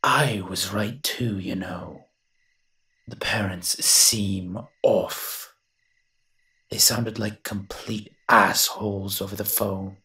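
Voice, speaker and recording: A low, bright-edged male monster, as a man acts him out in an audio roleplay. He grumbles in a sardonic, even-pitched delivery, reluctant on the surface and secretly soft-hearted underneath. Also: slow pace. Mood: grudging, sardonic. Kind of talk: scripted character monologue, audio roleplay.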